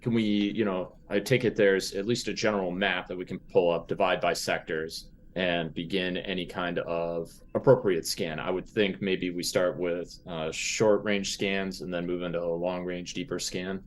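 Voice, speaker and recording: A man with a deep voice talks casually as a player in a roleplaying game. He improvises as he goes, in halting and deliberate phrases. Light noise sits in the recording. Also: little pitch movement.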